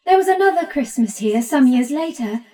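An actress playing a composed, commanding spirit guide with a measured manner in an audio play. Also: female voice, high-pitched, animated, dark tone.

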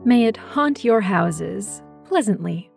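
A woman delivers theatrical story narration. Her mid-pitched voice is strongly creaky and gravelly. Music is clearly heard behind it. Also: expressive, slow, a little mellow.

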